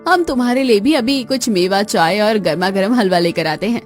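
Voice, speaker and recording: A woman playing a warm, hospitable young village daughter-in-law in an animated story, polite and expressive. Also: medium pitch, moderate pace, soft background music, close to an everyday voice. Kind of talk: animated moral story dialogue.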